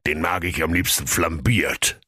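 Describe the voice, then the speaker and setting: A man voicing a huge, greedy male dragon who talks in a slow, unbothered drawl, in a children's storybook reading. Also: deep voice, fairly even intonation, bright, forceful tone, slightly rough, no echo, studio quality, exaggerated voice. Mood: smug.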